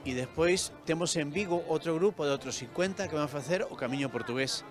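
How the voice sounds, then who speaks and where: A male organizer giving an interview comment outdoors, relaxed and hesitant. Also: on the high side, brisk, over music. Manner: relaxed, hesitant with fillers and restarts. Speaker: program organizer.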